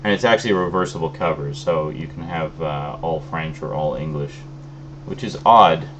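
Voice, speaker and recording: A man's voice: rumbling low, moderate pace, a little hiss or hum. A man casually chatting as he shows off his latest film discs, with plenty of ums and restarts, a little phlegmy from a cold. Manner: relaxed, rambling, many fillers. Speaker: video host. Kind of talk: casual unboxing chat.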